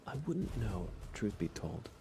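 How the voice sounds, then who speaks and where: A man streaming ASMR in a soft, breathy whisper, chatting casually and gently while he plays a game. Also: fairly low pitch, very animated, a little slow, noisy background. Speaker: livestream host. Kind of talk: ASMR livestream, casual chat while gaming.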